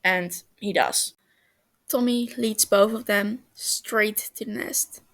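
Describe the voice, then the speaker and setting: A woman narrating a story as an amateur reader, halting a little, with small stumbles and self-corrections. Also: medium pitch, a bit bright. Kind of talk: storybook-like narration of fan fiction.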